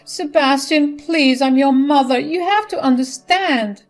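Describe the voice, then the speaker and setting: A woman's voice: sits high, unhurried, pitched above the performer's own voice. A woman voicing a desperate middle-aged mother-in-law who pleads and whines, over faint music. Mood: begging.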